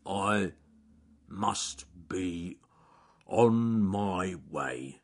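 Man playing a slow, low, growly bear. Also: animated, darkish tone, exaggerated voice. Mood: friendly. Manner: slow, drawn-out. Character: big slow grumbling but friendly male bear.

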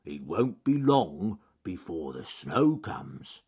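A man voicing a big, friendly but grumbling bear in a children's story, growly and slow. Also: sits low, big pitch swings, a little mellow, breathy edge, full character voice. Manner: slow, drawn-out.

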